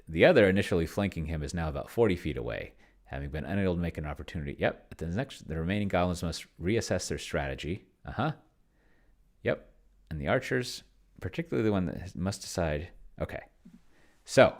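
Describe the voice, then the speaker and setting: Man, low gravelly voice, casual game streamer, rambling, muttering as he reads and types. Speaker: solo tabletop game player and streamer. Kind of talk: casual screen-share commentary, thinking aloud.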